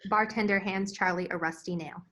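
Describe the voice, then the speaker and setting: A woman's voice: fairly low pitch, fairly even intonation, a little fast, sharp tone, slight background noise. A woman narrator reading scene headings and stage directions from a screenplay in a scripted style.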